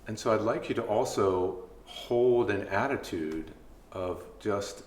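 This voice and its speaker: A man leading a guided body scan meditation as a mindfulness instructor, speaking gently with unhurried pauses between phrases. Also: on the low side. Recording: echoey room, faint background noise.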